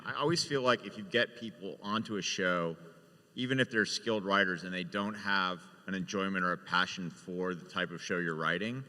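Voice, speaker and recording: Very echoey, deep bright-voiced man. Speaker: television showrunner on a panel. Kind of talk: panel discussion. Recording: very echoey hall with long reverb.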